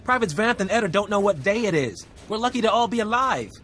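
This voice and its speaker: An actor playing a frazzled young army private in a sci-fi video game. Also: male voice, high voice, very animated, fast talker, fairly bright tone, faint music behind. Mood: indignant. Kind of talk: dramatic video game acting.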